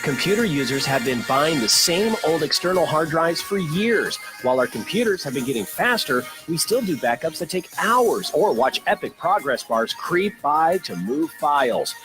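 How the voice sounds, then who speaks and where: A man's voice: on the high side, animated, normal speed, few pauses. A man reading scripted radio commercial narration as an announcer. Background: music bed.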